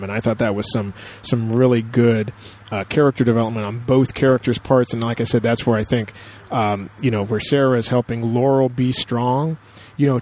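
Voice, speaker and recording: Male podcast co-host, mid-pitched, casual and enthusiastic, rambling through analysis with frequent asides. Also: a little mellow. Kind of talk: casual TV episode discussion.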